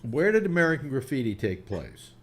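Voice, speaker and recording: A man in his eighties hosting a casual panel chat, talking in halting starts and restarts. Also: medium pitch, noisy background. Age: 80s. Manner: halting, many restarts, lively. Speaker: radio host.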